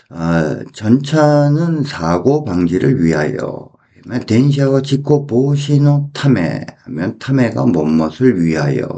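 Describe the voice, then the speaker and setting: Guy explaining phrases bit by bit, mellow and slow, repeats stuff. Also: lowish voice. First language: Korean. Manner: deliberate, repeating phrases and translating them piece by piece. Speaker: Japanese language teacher. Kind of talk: explanatory language lesson.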